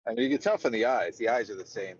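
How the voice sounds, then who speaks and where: Deadpan teasing man, muffled audio. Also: lowish voice, very fast, breathy edge. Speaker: regular on a trading stream. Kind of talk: casual chat on a live trading stream. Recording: dull lo-fi sound.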